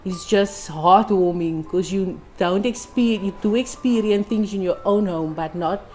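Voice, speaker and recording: A woman in her twenties, a community tutor, giving a soft-spoken, thoughtful unscripted testimonial with hesitant ums. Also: mid-range voice, unhurried. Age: 20s. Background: music.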